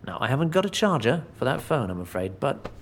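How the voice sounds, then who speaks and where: An actor playing an eager, smitten public servant, polite and flustered, in an audio drama. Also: male voice, medium pitch, big pitch swings, fast, a little hiss or hum. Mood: earnest, smitten. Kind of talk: naturalistic audio drama acting.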